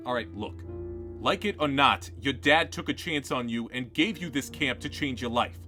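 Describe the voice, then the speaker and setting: A man voicing a calm male staff member who talks low and reassuringly. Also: measured pace, faint music behind.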